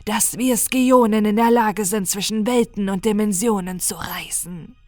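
Audiobook creature: a contemptuous otherworldly male being, whispered slow and airy, put on by a woman reader. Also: mid-range voice, dry recording, soft background music, natural voice. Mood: scornful whisper.

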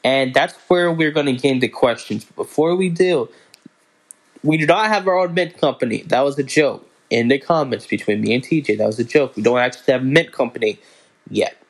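A young man hosting a casual solo podcast, talking in a halting way with many restarts and stumbles. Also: medium pitch, low-quality recording. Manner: halting, restarts and fillers, slow unhurried delivery. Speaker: podcast host.

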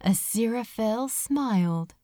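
Slow, expressive woman narrating fiction. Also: fairly high pitch, no room sound, clean studio sound. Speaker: amateur audiobook reader. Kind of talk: dramatic, tender narration of fiction.

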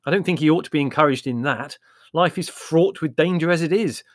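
Man acting a loud, pompous young intellectual. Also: medium pitch, lively intonation, brisk, no echo, clean studio sound, pitched above the performer's own voice. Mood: bitter. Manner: airy, pompous, emphatic. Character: supercilious, opinionated young literary man, eldest brother. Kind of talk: audiobook character voice.

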